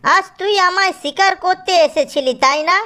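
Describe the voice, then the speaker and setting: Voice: very high voice, normal speed, pushed and bright, voice pushed up, full character voice. A performer voicing a proud, angry cartoon lion king who speaks imperiously and threatens. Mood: outraged, threatening. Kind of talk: children's cartoon character voice.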